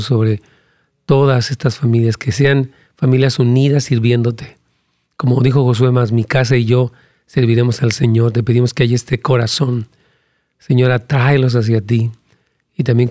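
A male pastor preaching and praying during a live broadcast. He prays in fervent, rhythmic lines with emphatic repetitions, and his pitch climbs as he argues a point. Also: no room sound, clean studio sound. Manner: animated, rising pitch when arguing a point. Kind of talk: live-streamed sermon and prayer with Q&A.

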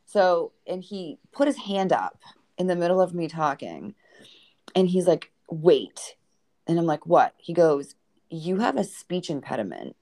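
A woman's voice: lowish voice, measured pace. A woman with a Boston accent, a guest author telling dating stories in a podcast conversation and acting out the lines of the people in them. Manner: animated storyteller who acts out quoted dialogue.

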